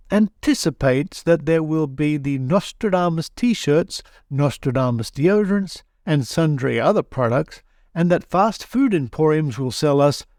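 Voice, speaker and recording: Man, measured podcast narrator, dry sound. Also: a little high, a little mellow, dry recording. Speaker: podcast host. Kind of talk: scripted podcast narration, reading old newspaper pieces.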